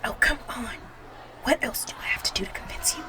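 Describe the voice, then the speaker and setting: A young woman voicing a bratty, bossy popular high school girl in an intimate audio roleplay, whiny and exasperated, with desperation underneath. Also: a little fast, natural voice. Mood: exasperated, impatient. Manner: whiny, sighing, pushy.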